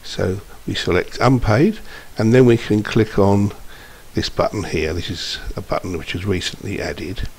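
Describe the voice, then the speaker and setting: Deep-voiced man, software tutorial. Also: a little slow, few pauses, slightly rough. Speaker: software demonstrator. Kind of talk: informal screencast tutorial narration. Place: indoors, voice-over for screen recording. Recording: steady background hiss.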